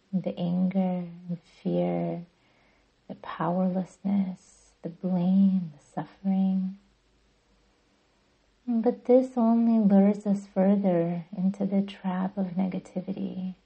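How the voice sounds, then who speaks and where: Female meditation guide, breathy with a creaky edge, even and soothing, slightly muffled recording. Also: on the low side. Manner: soothing, gentle, deliberate. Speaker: yoga nidra guide and podcast host.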